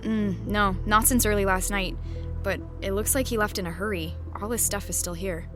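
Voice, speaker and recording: A woman's voice: medium pitch, steady tone, normal speed, music in the background, close to an everyday voice. A woman playing a matter-of-fact police sergeant in an audio drama, speaking casually and a little hesitantly.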